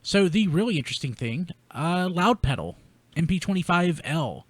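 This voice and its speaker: Male podcast host, lively swooping intonation, fairly high voice, informal banter. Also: moderate pace, dry recording. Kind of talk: casual chat about collectible toys.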